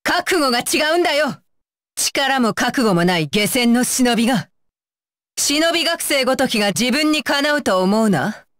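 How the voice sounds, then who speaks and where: A woman's voice: high voice, animated, moderate pace, fairly bright tone, dry recording, studio quality. A woman playing a fierce, disdainful young female shinobi with a curt, commanding manner, in anime video game battle lines. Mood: scornful.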